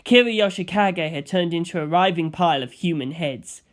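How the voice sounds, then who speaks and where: Male audiobook narrator, somewhat high register, first-person fiction read from a script. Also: moderate pace.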